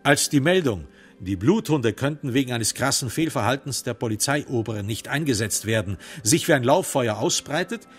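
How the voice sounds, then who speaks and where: A man's voice: mid-range voice, lively intonation, moderate pace, husky, soft background music. A man narrating a literary crime radio play in a sardonic, theatrical storytelling manner.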